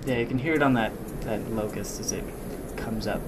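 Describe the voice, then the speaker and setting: A man giving a casual, hesitant software demo walkthrough as a game sound designer, thinking aloud as he goes. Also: on the low side, fairly quick, heavy background noise. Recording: loud music and effects behind voice. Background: loud music and game sound effects.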